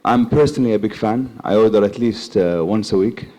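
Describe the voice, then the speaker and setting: A hoarse man with a Kuwaiti accent, voice worn by the flu, speaks earnestly from the audience. His tone is low and mellow as he praises the speaker and asks a question. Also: a little fast. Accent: Kuwaiti English. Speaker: audience member asking question.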